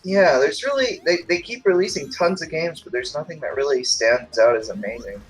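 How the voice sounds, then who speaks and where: A man's voice: a little high, lively intonation, fairly quick, slightly rough, poor audio. A man in his thirties chatting casually and asking friendly questions on a gaming livestream. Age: 30s. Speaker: co-player on livestream.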